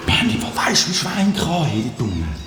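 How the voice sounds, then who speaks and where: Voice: mid-range voice, huge pitch swings, fast talker. An actor playing a grumbling, fearful adventurer in a Swiss German radio play, complaining in an agitated way. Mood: grumbling, worried. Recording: echoey, loud music underneath.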